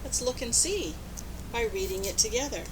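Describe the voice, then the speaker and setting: A woman reading a picture book aloud to young children, expressive and instructive, pausing to put questions to her listeners. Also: on the high side, noisy background. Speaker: storybook reader.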